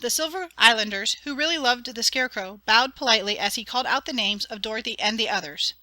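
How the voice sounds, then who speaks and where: A woman narrating a fairy-tale audiobook in an expressive dramatic reading. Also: on the high side, quick pace, no room sound. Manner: clear, expressive storytelling.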